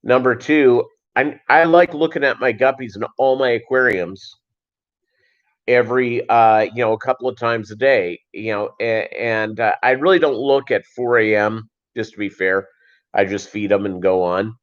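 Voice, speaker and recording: A man hosting a casual livestream, chatting and answering viewers in a rambling, halting, conversational way. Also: mid-range voice, steady tone. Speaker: livestream host. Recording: dull, lo-fi livestream audio.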